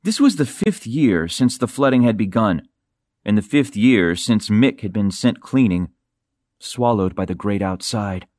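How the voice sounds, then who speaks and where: A man reading a novel as an audiobook narrator, in a measured, dramatic storytelling manner with careful articulation. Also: on the low side, animated, darkish tone, no echo, clean studio sound. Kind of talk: scripted narration of a science fiction novel.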